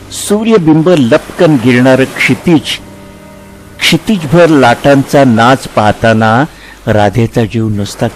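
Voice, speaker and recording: A man narrating a literary story in a dramatic, expressive way with measured phrasing. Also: medium pitch, slight background noise, rough recording.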